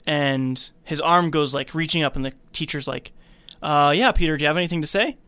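A man hosting a podcast, casually retelling a film's story in an animated storytelling way. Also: fairly high pitch, a little fast, clean tone, no room sound. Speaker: podcast host recapping a film. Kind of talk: casual film recap narration.

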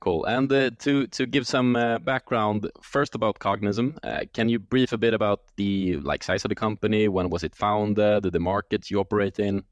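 A man's voice: fairly low pitch, moderate pace, few pauses. A man hosting a podcast, reading a scripted intro, then asking questions hesitantly with many filler sounds. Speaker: podcast host.

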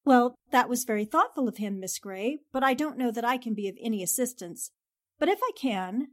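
A woman playing a polite, cautious newspaperwoman in a 1940s-style radio drama, measured and reserved. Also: fairly high pitch, expressive, lightly acted. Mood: polite, composed.

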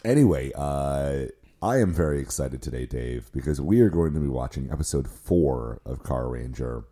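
A man hosting a casual podcast, his voice hoarse and lowered by illness, chatty and joking with many fillers. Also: lively intonation, normal speed, warm tone. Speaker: podcast host. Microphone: recording headset.

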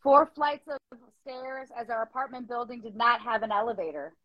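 Woman, higher-pitched, earnest and emotive open mic reader. Close dry sound, slightly dull fidelity. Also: moderate pace, dry recording. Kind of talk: dramatic poetry reading and casual discussion. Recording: muffled lo-fi dry audio.

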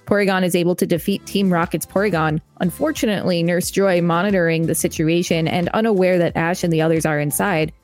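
Young female podcast co-host, chatty reader, low voice, faint music. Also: fairly even intonation, fast, few pauses, darkish tone. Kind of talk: reading aloud with casual commentary.

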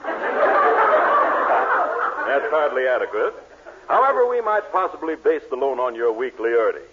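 A man's voice: medium pitch, sing-song, moderate pace, heavy background noise, close to an everyday voice. Man acting a blustery, swooping middle-aged banker. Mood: formal, impatient. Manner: formal, blustery. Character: pompous, exasperated middle-aged banker and scheming father. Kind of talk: 1940s radio sitcom acting. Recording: muffled old 1940s radio recording.

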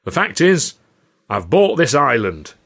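A man's voice: a little high, big pitch swings, unhurried, a bit bright, pitched above the performer's own voice, full character voice. A man voicing a smug, domineering showman boss with pompous, theatrical speechifying, in an audiobook. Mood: proclaiming.

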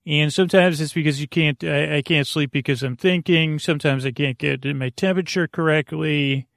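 A middle-aged American man with a creaky voice hosts a bedtime podcast in a meandering, halting, soothing monologue, easily sidetracked. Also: on the high side, a bit bright, no room sound, studio quality.

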